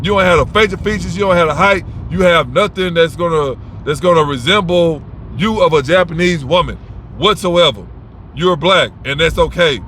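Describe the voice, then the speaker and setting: A man's voice: a little high, bright, forceful tone, a little hiss or hum. A man giving advice in a casual, unscripted monologue, blunt and rambling, swearing freely and restarting his sentences.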